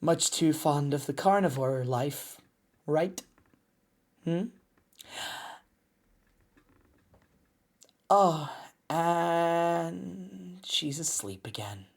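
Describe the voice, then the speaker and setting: A woman voicing a cocky, gruff but motherly lycan mercenary in an audio drama, with a teasing, sardonic drawl. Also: low voice, lively intonation, drawn-out words, pauses often, darkish tone, heavily acted. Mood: softer, caring. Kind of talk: scripted audio roleplay drama.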